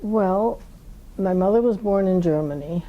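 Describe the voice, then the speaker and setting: A woman in her eighties with a Dutch accent, speaking slowly in an oral history interview and pausing to search for her words. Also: medium pitch, dull audio. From Netherlands. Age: 80s.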